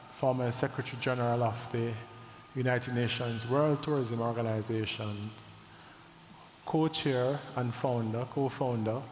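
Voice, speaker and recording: A man's voice: medium pitch, a little hiss or hum. Male presenter in an echoey hall. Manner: deliberate, introduces speakers. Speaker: event presenter. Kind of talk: formal introduction at an event. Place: large hall. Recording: echoey hall, heard from a distance.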